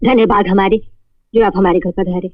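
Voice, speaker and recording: A woman's voice: medium pitch, steady tone, fairly quick, natural voice. A woman playing a devout, deferential mother, earnest and pleading, in a melodramatic film spoof. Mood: reverent. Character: devout, deferential mother of the household, anxious and scolding. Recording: dull lo-fi sound with music underneath.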